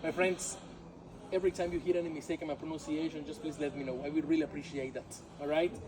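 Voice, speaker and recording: A man's voice: a little high, flat delivery, quick pace, low-quality recording. A man whose first language is Yucatec Maya, speaking English with a Mexican Spanish accent as a tour guide, chatty and jokey, often saying "my friends" and "all right?". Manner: jokey, laughs at his own jokes. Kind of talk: outdoor walking tour talk. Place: outdoors among ruins, tour group around. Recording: outdoor crowd of tourists behind.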